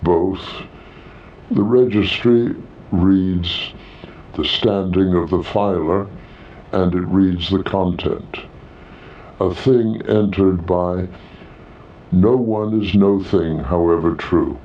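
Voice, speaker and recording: A man voicing a grave alien officer of a registry in an audio story, speaking in terse, formal, measured phrases. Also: low-pitched, lo-fi sound. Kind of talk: scripted audio story character dialogue.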